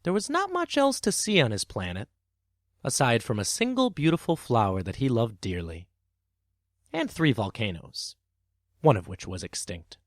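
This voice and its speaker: A man narrating a children's tale as a podcast storyteller, with expressive, measured and dramatic phrasing. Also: mid-range voice, no echo, clean studio sound.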